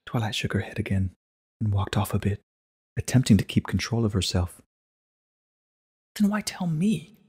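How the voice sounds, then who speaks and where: A man narrating a story dramatically in a sombre, subdued manner, with a husky, breathy voice. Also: lowish voice, steady tone, a little fast, a little mellow.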